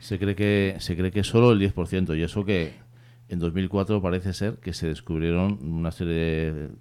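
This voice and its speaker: Hombre de voz muy grave y tersa. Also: male voice, sits low, fairly even intonation, a little mellow, clear, resonant tone. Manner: measured, frequent tag questions, reads quotes aloud. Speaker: radio host. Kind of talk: radio interview.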